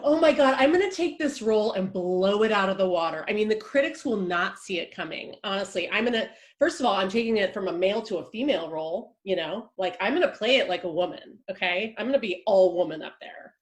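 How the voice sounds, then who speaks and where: A woman's voice: mid-range voice, expressive, fast talker, few pauses, natural voice. A woman playing an anxious, theatrical playwright-director who gushes and rambles dramatically. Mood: excited. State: panicked shouting near tears.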